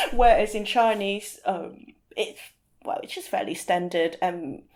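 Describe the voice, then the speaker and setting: A woman's voice: medium pitch, sing-song, slow pace. Searching, thoughtful woman in conversation. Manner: hesitant, searching for words, often rephrasing. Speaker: podcast guest, literary translator. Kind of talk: casual interview discussion.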